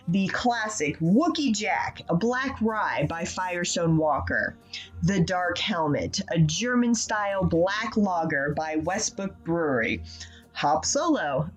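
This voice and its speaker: A woman's voice: medium pitch, poor audio. A woman presenting scripted video game news as a regular segment contributor on a casual show.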